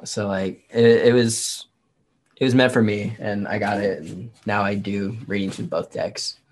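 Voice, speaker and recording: A man's voice: on the low side, fairly even intonation, measured pace. A man chatting casually as a livestream guest, informal and rambling in how he talks. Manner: informal, rambling, frequent 'like'. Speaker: livestream guest, performer and tarot reader. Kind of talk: casual chat.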